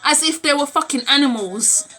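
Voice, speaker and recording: A woman's voice: high-pitched, normal speed, sharp tone, soft background music. A British woman around thirty talking casually and bluntly to camera, emphatic and opinionated, recorded on a phone in a room at home. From United Kingdom. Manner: blunt, emphatic, repeats phrases for emphasis, swearing. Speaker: vlogger. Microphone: phone at arm's length.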